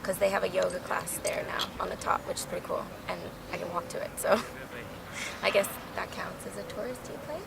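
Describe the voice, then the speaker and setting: A woman from Baltimore, a drama teacher, chatting in a street interview in a thoughtful, hedging way with soft endings. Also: lowish voice, fairly quick, vocal fry, in a whisper. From Baltimore, USA. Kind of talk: casual street interview chat. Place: outdoors on a busy city street. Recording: street traffic noise behind.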